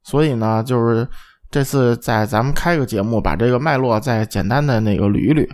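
A man's voice: mid-range voice, a little fast, a little mellow. A man hosting a casual panel chat in a colloquial, jokey way, often checking in with tag questions. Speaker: podcast host.

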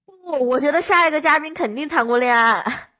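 A woman with a fairly high voice talks as a guest on a podcast. Her style is casual and conversational, with playful teasing and back-and-forth banter. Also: brisk, bright, forceful tone, slight background noise. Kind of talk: casual chat.

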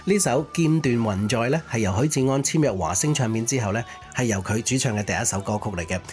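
A man's voice: mid-range voice, expressive, quick pace, a little mellow. A man chattily co-hosting a music nostalgia show, sharing conversational commentary. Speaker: co-host of a Cantopop nostalgia show.

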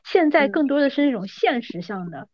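A woman in her thirties hosts a casual chat with an agreeable, conversational manner. Her voice sits a little high, and the recording is dry with a slightly muffled, lo-fi tone. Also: big pitch swings, fairly quick, dry recording. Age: 30s. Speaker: podcast host.

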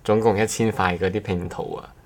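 A man's voice: lowish voice, steady tone, a little fast. A man chatting casually as a guest on a podcast. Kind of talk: casual chat. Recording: clearly noisy background.

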